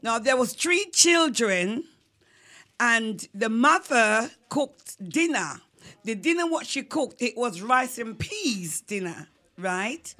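A Jamaican woman tells an old story from her childhood in a high, bright voice. She speaks slowly and rambles warmly, breaking into snatches of song as she goes. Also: big pitch swings. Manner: rambling and warm, breaks into singing. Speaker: guest. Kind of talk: telling a childhood folk story.

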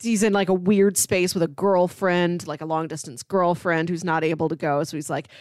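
A woman's voice: on the low side, steady tone, moderate pace, no echo, studio quality. A woman co-hosting a film podcast in a casual, conversational style. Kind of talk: casual chat, film recommendations.

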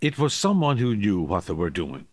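A man's voice: on the low side, sing-song, normal speed. An actor playing a plain-spoken Irish local man in a radio drama, emphatic and colloquial in his opinions. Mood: firm, insistent. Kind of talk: radio drama acting, conversational.

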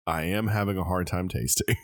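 Very deep-voiced congested man. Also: dry recording, clean studio sound. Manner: thinking aloud with many ums. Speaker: host tasting liquor blind. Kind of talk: casual tasting chat.

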